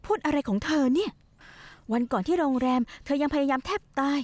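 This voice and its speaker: A young wife who schemes and presses. Her high voice coaxes and pushes in turns. It is recorded dry and close for a TV dub. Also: lively intonation, a little fast, darkish tone, no room sound. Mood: insistent. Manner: coaxing, pushy, manipulative. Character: scheming young wife plotting to run away. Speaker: scheming wife in a dubbed drama. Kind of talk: dubbed television drama acting.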